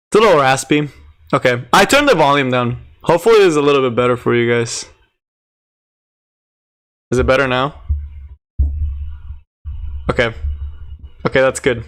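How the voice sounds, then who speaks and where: A man with a raspy, strained voice reviews a game update on a casual live stream, chatting with viewers and keeping his delivery subdued. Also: medium pitch. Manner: chatty, answers chat, many "like" fillers; deliberately subdued, near monotone.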